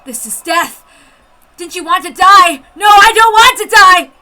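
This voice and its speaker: Girl doing a panicked soldier guy in a story, loud n frantic, high piercing voice, super dramatic. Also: expressive, measured pace, full character voice. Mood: desperate, panicked. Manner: shouting, frantic, melodramatic. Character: Kinzo, terrified Japanese soldier man, desperate and self-berating.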